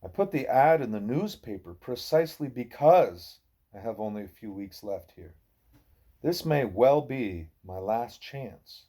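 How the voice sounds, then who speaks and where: A man voicing a wise, patient gorilla teacher who lectures in a deliberate, formal way. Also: mid-range voice, animated, dark tone. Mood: solemn.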